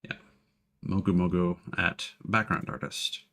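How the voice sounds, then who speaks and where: A man's voice: low voice, a little slow, a bit bright. A man in his thirties chatting casually on a game livestream, rambling and opinionated as he talks. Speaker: game streamer.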